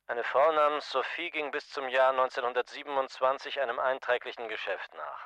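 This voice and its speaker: An actor in a dubbed film thriller playing a shrewd small-time investigator who needles a powerful client with deliberate, pointed politeness. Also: male voice, mid-range voice, pushed and bright, dry recording, lo-fi sound, close to an everyday voice. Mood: insinuating, confident.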